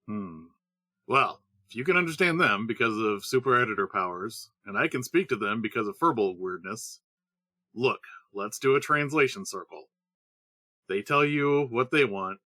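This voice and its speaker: A man's voice: medium pitch, pushed and bright, no echo. A man playing an exasperated, practical gnome adventurer in a comedy audio drama.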